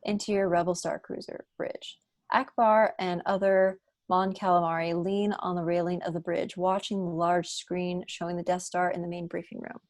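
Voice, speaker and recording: A woman reads scripted stage directions aloud at a table read. Her voice sits a little low, and the sound is close and dry. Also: little pitch movement, normal speed, a little mellow, dry recording. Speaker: narrator reading stage directions.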